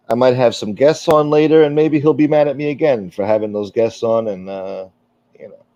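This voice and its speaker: A man's voice: mid-range voice, warm tone, no echo. A middle-aged man hosting a livestream, chatting casually and reading viewer comments, rambling and candid with frequent fillers and swearing. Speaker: livestream host. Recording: dull, lo-fi stream audio.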